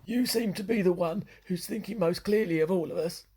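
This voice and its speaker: A performer acting in an amateur radio play as a dotty senior with a husky, rough voice and a deliberate, solemn manner. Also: medium pitch, mellow. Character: dotty senior who speaks as half of a pair.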